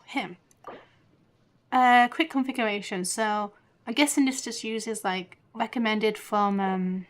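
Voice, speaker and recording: A woman game streamer giving casual, chatty, giggly commentary as she plays. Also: on the high side. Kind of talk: casual live gameplay commentary. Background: game music.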